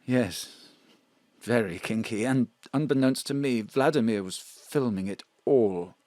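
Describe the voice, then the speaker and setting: A man's voice: mid-range voice, unhurried, a little mellow, no echo, close to an everyday voice. An actor playing a frightened male drag performer, with a theatrical, swooping storytelling manner. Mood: confiding. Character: male drag performer Bruno, also Mona, frightened fugitive. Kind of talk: audio drama acting. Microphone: home studio mic.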